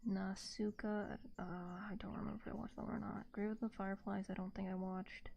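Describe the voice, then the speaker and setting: A woman streamer chatting casually in a gentle, soft-spoken ASMR style, rambling and hesitant, close to a binaural microphone. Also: medium pitch, flat delivery, a little fast, creaky.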